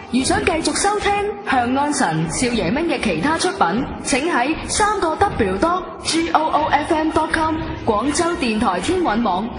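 A Cantonese woman delivers a scripted promo announcement. Her high, animated voice rings with echo, and music is clearly heard behind it. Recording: echoey long reverb.

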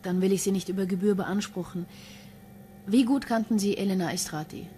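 A woman's voice: medium pitch, brisk, dark tone, slight background noise, close to an everyday voice. An actress playing a cool, firm female police investigator in a crime radio play, dry and insistent. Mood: stern. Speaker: actor in a crime radio drama. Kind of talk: German radio play acting.

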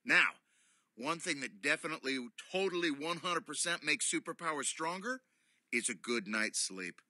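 A middle-aged man reading a children's bedtime story aloud in an animated, playful, theatrical way from a cosy studio armchair. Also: fairly high pitch, moderate pace, no echo.

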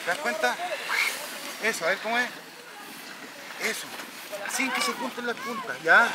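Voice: medium pitch, expressive, measured pace. An instructor coaching beginners in short, hands-on directions. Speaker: ski instructor. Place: outdoors on a snowy ski slope. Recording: distant camera audio, loud outdoor noise.

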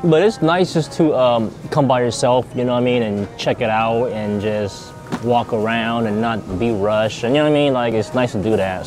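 A man chatting casually to his viewers as he shops in a grocery store, thinking out loud and repeating himself. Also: fairly low pitch. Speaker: vlogger. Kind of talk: casual vlog chat.

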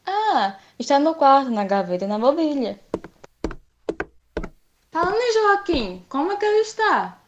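A woman acts as a young society lady in a radio soap. Her voice is high and very animated, theatrically expressive. The sound is dull and lo-fi, with music behind. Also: unhurried, pauses often, noisy background. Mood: light, genteel. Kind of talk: radio soap opera acting.

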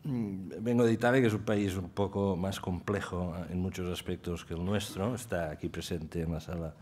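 A man with a very low voice moderates a formal event. His phrasing is courteous and roundabout, often halting and circling back before he reaches the point. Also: fairly even intonation, darkish tone. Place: hotel banquet hall, panel table.

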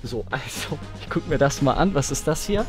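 A young man hosts a playful challenge video, teasing himself with light banter. His voice swings widely in pitch. Background music runs under everything he says. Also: lively intonation, moderate pace. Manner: joking, self-mocking banter. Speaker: channel host. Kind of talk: casual challenge video chat. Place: bright indoor room with shelves.

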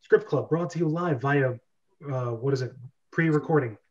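A man's voice: mid-range voice, normal speed, darkish tone, lo-fi sound. A man hosting a casual group chat over a video call. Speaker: podcast host.

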